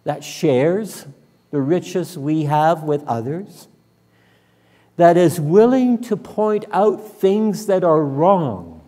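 A man in his sixties, a minister preaching and praying in a measured, solemn, deliberate manner. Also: a little high, expressive, mellow. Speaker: minister leading worship. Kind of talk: sermon and public prayer. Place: church sanctuary, at the front and pulpit. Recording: reverberant church hall.

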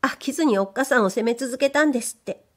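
A woman's voice: fairly high pitch, fast talker, pitched above the performer's own voice, natural voice. Woman doing a shy, whispery young maid. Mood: bashful. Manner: whispery, bashful. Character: shy young maidservant girl, soft-spoken.

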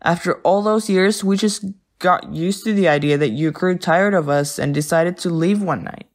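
A woman acting as a loyal, sober funfair worker in an audio drama, speaking in a measured, matter-of-fact way. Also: on the low side, close to an everyday voice. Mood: regretful.

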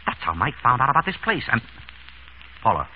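An actor playing an urbane, wry surgeon turned amateur sleuth in an old-time radio drama. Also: male voice, mid-range voice, big pitch swings, brisk, husky. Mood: intent. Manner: urbane, wry, composed under threat. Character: suave, quick-witted male surgeon turned amateur sleuth. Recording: tinny, noisy vintage radio recording.

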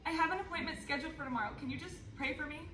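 A young woman plays a clingy, troubled teenage girl with exaggerated stage delivery. The voice is high. She is heard from a distance in an echoey room with an audience. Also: rapid-fire, background noise, natural voice. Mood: upset, pleading. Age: young adult. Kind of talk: readers theater performance. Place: large conference room. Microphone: distant camera.

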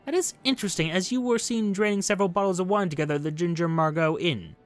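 A severe judge of the revolution, played by a man reading aloud, pitched a little high. He puts formal, probing questions to the accused in a historical game, with faint music behind. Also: fast, natural voice. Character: stern male revolutionary judge questioning a defendant.

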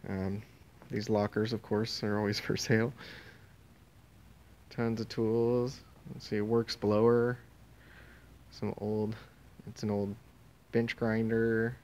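Slow, offhand man. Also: fairly low pitch, flat delivery, darkish tone. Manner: slow, offhand, trailing off mid-phrase. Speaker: auctioneer previewing items. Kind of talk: casual walkthrough narration. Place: indoor warehouse and tent. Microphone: handheld phone camera.

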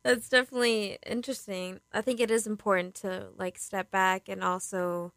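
A woman's voice: medium pitch, steady tone, moderate pace, fairly bright tone, no room sound, clean studio sound. A young woman interviewing someone in a casual, informal and affirming way.

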